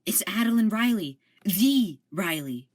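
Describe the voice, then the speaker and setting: A woman's voice: medium pitch, expressive, unhurried. A woman voicing an earnest, starstruck young male ship security officer in an amateur audio drama. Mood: eager.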